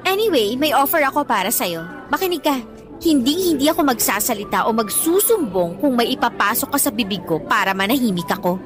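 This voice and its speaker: High-pitched, bratty Filipino schoolgirl. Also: very animated, a little fast, soft background music. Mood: taunting, scheming. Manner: bratty, taunting, mixes English into Tagalog. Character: spoiled, insolent teenage schoolgirl who blackmails her teacher. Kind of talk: Tagalog radio drama acting.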